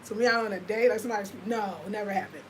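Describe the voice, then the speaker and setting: A woman's voice: mid-range voice, very fast, slight background noise. A woman in her fifties with an American accent, chatting casually and confidingly to viewers on her livestream, full of fillers. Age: 50s. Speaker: lifestyle vlogger.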